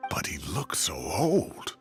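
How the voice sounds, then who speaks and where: An actor playing a world-weary older professor in a video game, with a theatrical, exasperated way of talking. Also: male voice, extremely low pitch, huge pitch swings, takes it slowly, faint music behind, full character voice. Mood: exasperated, regretful.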